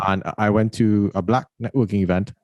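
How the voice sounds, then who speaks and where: A man's voice: fairly low pitch, fairly even intonation, fairly quick, darkish tone, dry recording, dull audio. A man hosting a podcast interview, telling stories in a rambling, chatty way. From Trinidad and Tobago. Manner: storytelling, rambling with restarts. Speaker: podcast host. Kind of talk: casual interview chat.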